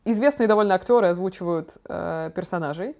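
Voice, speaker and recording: A woman's voice: fairly low pitch, fast talker. A young woman co-hosting a casual podcast, chatty and slangy as she tells stories. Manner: chatty, slangy, animated storytelling. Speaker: podcast co-host, daughter talking with her father.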